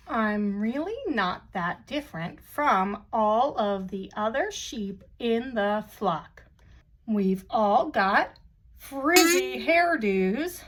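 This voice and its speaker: A woman voicing an ordinary, self-deprecating, fretful sheep in a children's storybook, with a wry, drawn-out delivery. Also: a little high, a bit bright, exaggerated voice. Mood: matter-of-fact.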